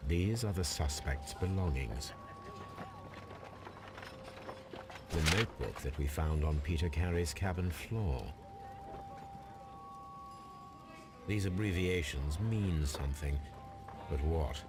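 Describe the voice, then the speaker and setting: A man playing a composed detective in a video game, probing in a measured, formal and coolly inquisitive manner. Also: deep voice, loud music behind the voice, natural voice. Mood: musing. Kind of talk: dramatic video game acting.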